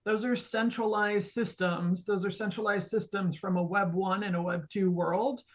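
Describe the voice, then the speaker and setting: A woman's voice: medium pitch, steady tone, moderate pace. A woman co-hosting a podcast, explaining conversationally in an earnest, emphatic way, on slightly muffled audio. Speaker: podcast co-host. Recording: dull, band-limited audio.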